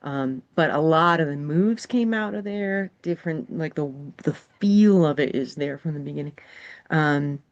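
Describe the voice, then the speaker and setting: A woman's voice: deep voice, a little slow, lo-fi sound. A woman from New England, a guest game designer, telling stories in a rambling, casual way with lots of fillers. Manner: rambling storyteller, many fillers. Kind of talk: casual conversation.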